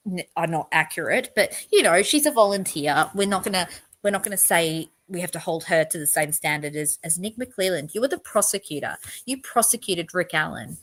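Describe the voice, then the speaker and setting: Woman, livestream host, earnest, rambling, emphatic, lowish voice. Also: fairly bright tone. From outside the US. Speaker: true crime channel host. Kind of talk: solo livestream commentary.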